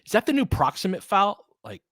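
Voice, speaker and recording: A man's voice: high voice, very animated, brisk, a bit bright, no room sound, studio quality. A man hosting an informal live play-by-play, commentating and analysing in a conversational, fan-like way as he chats with listeners. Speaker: live audio play-by-play host.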